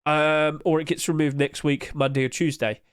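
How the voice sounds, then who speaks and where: A man's voice: on the high side, level tone, moderate pace, clean tone, no echo, clean studio sound. A man with a blocked-up nose, chatting casually over his screen about in-game item prices, loose and full of fillers. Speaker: gaming market commentator. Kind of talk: casual screen-share commentary.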